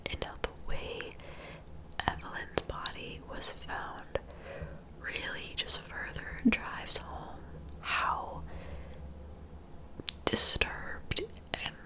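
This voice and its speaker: A woman narrating true-crime ASMR in a soft, breathy whisper, with an informal, conversational manner. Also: very slow, pauses often.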